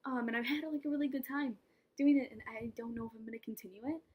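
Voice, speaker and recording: A woman's voice: a little high, steady tone, fast talker, a little mellow, breathy edge. A young woman talking casually to camera in a vlog, rambling and self-mocking, with laughs and whispered asides. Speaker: vlogger.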